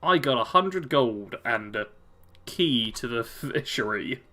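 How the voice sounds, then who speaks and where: British male streamer, bright tone, casual chat-reading, easy chuckles. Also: medium pitch, animated, slow pace. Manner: chatty, chuckles often, answers chat. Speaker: game streamer.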